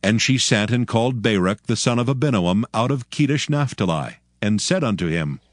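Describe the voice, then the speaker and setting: A man narrating dramatized scripture in a solemn, measured way. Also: lowish voice, animated, no echo. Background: music.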